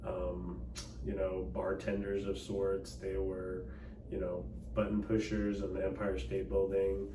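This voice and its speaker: A man from Texas, Cantonese his first tongue, talks in a low, level voice with easygoing fillers. He sounds distant from the mic in an echoey room, with clear background noise underneath. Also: a little slow. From Dallas, Texas. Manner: relaxed, conversational, frequent fillers. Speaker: political candidate and attorney. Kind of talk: casual interview conversation.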